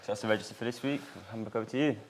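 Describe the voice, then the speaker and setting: A man reading out a list of viewer names on a live show, brisk and flat. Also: fairly low pitch, noisy background.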